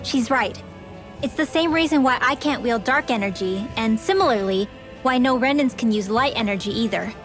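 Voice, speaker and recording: A gentle young mage from a fantasy anime game , voiced by a woman. Her voice is fairly high and earnest, with soft music behind it. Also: lively intonation, normal speed, natural voice. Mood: informative. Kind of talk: English-dubbed anime game voice acting.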